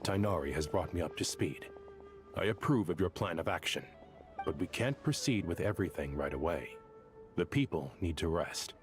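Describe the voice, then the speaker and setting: A man's voice: low-pitched, lively intonation, music in the background. A man voicing a composed, formal commander, grave and courteous, in a dramatic video game. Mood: composed, grateful. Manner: measured, formal.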